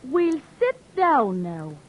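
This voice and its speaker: A woman acting a prim, disapproving young woman in a 1940s radio drama, speaking crisply and scoldingly. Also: sits high, sing-song, extremely slow, full character voice. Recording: old radio broadcast.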